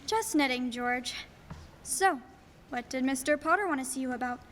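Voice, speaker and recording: A young woman playing a gentle, steadfast wife with an understated manner in a 1940s-style radio play. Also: sits high, normal speed. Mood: tender, coy. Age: young adult. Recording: hall reverb, faint noise, live stage.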